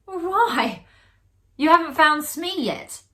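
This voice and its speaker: A woman voicing an earnest, uneasy gentleman in a ghost story, speaking insistently. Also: extremely high pitch, animated, slow, voice pushed up, exaggerated voice. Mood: insistent protest.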